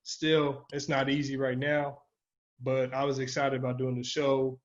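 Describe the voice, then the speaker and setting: A man's voice: mid-range voice, flat delivery, measured pace. A man co-hosting a podcast in casual, slangy talk with free swearing, on dull lo-fi audio. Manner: informal and slangy, swears freely. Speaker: podcast co-host.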